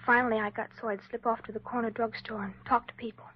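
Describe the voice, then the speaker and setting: A muffled old radio recording of a troubled teenage girl. Her highish, breathy voice is hesitant and plaintive, full of naive shame. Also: little pitch movement, fast. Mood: sad, bewildered. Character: miserable, ashamed, naive runaway teenage girl. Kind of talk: 1950s radio drama acting. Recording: dull lo-fi 1950s radio recording.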